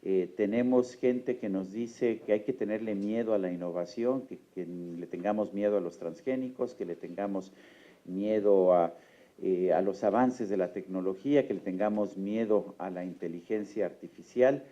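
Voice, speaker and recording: A man's voice: fairly low pitch, moderate pace, dark tone, lo-fi sound. A man moderating a public presentation, speaking in a rhetorical way with many fillers.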